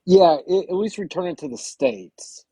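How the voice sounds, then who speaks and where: A man from Indiana calling into a live stream, answering in a hesitant, halting way. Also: high-pitched, lively intonation, dark tone, breathy edge, dry recording. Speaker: caller. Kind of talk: casual call-in chat.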